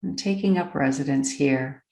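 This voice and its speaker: A woman leading a guided meditation, speaking gently and deliberately, with long pauses between phrases. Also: low voice, vocal fry, roomy sound, rough recording. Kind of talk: slow, unscripted guided meditation.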